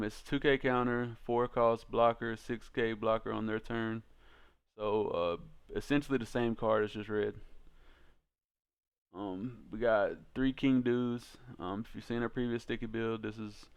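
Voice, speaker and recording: A man's voice: mid-range voice, clean tone. A man hosting a card game channel, talking through a deck in a casual, informal way with slangy turns of phrase.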